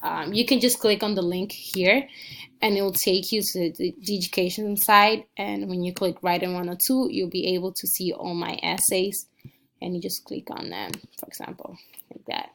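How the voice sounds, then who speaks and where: A woman's voice: on the low side, moderate pace, gravelly, fairly bright tone, slight background noise. Young woman narrating a casual screencast. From Dominican Republic. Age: 20s. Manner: casual and earnest, with frequent ums and small restarts. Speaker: student. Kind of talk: screencast walkthrough of a personal website.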